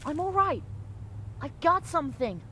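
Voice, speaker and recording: Eager small boy scavenger: high-pitched, adventurous, video game cutscene, slight rumble. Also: big pitch swings, normal speed. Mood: excited. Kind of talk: video game dramatic acting.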